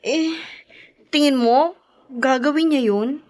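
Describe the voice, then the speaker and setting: A woman's voice: high-pitched, slow, darkish tone. A woman playing a whiny, selfish young mother with a Filipino accent in a radio drama. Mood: uneasy.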